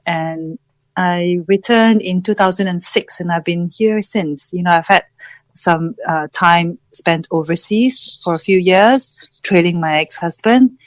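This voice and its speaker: A woman's voice: lowish voice, a little slow, no room sound. A middle-aged woman from Malaysia, a podcast guest telling her story reflectively and searching for her words. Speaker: podcast guest, founder and coach. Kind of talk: reflective personal interview talk.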